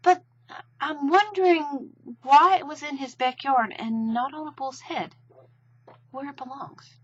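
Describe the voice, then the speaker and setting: A woman voicing a gentle, slow-witted male toy bear, polite and earnest, in a storybook reading. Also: high voice, muffled recording, pitched above the performer's own voice. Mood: wondering.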